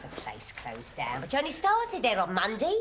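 Fiery, high-pitched nagging wife. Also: female voice, big pitch swings, moderate pace, fairly bright tone, slight background noise. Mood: scornful nagging. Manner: scolding, sarcastic, emotionally volatile. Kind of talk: dramatic stage-play acting.

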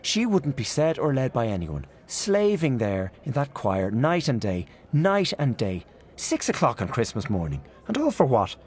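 A man putting on an indignant old aunt, raised in pitch from his own low voice and lively in tone. She presses each point with emphatic scorn in a storybook reading. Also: normal speed. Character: indignant elderly aunt, fiercely opinionated.

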